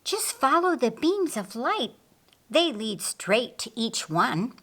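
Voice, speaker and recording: An excitable, regal queen from an audiobook story, as a woman narrator plays her. She is inquisitive and animated, with a bright swoop in her fairly high pitch. Her words come without hurry. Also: slow pace, exaggerated voice. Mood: excited. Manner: animated, exclaiming and wondering. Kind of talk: audiobook character dialogue.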